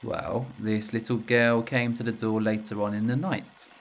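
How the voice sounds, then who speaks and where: An actor playing a bitter, self-loathing widowed ex-convict confessing to murder in an audio drama, with halting delivery. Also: male voice, on the low side, little pitch movement, creaky. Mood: subdued, ashamed.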